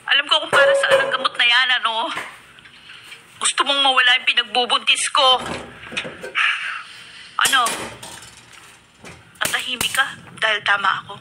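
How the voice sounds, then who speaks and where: Actress as a very high-voiced defiant young wife. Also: female voice, fairly even intonation, normal speed, pauses often, noisy background, close to an everyday voice. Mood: accusing. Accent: Filipino. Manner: emotional, confrontational. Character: defiant young pregnant wife, wounded but unyielding. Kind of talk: Filipino radio drama acting. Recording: radio drama under loud music.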